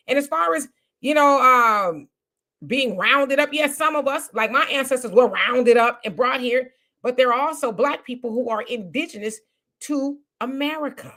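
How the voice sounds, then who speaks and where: A woman hosting a casual livestream, reading viewer comments aloud and talking back with lively, mocking asides. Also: high voice. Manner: animated, mocking voices when quoting others.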